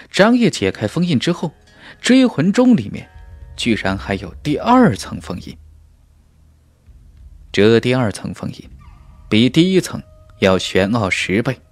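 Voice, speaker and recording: A man's voice: medium pitch, huge pitch swings, measured pace, long pauses, no echo, soft background music. A man narrating a fantasy novel as a scripted audiobook, with a dramatic storytelling delivery.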